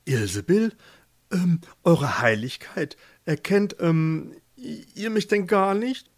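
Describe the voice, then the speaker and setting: Mann spielt zaghaften, bittenden Fischer. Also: male voice, a little high, sing-song, measured pace, no echo, clean studio sound, exaggerated voice. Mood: unsure. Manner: hesitant, stammering, pleading. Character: timid, humble fisherman husband, eager to please.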